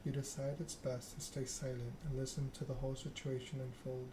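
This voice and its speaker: A man streaming a text game, reading narration aloud in a soft-spoken ASMR style with casual chat. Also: medium pitch, little pitch movement, a little fast. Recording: clear background noise.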